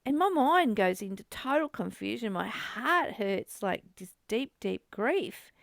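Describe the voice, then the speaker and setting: A high female voice with plenty of fry in it, recorded very dry and close. She leads a reflective spiritual discussion, open and confiding. She often repeats a phrase to give it weight. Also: big pitch swings, a little slow, dry recording. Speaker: host of a spiritual book study.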